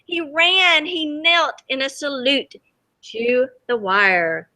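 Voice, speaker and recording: Woman, slow pace, bright clear-cut tone, expressive storybook read-aloud, teacher questioning her class. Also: high-pitched. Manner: expressive, dramatic, pauses to ask the children questions. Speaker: teacher reading a picture book aloud to children. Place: school library with children.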